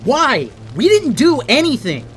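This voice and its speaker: A man's voice: extremely high pitch, wildly swooping pitch, measured pace, faint music behind, exaggerated voice. A man playing a rattled, argumentative teenage boy in an audio drama, exasperated and sweary.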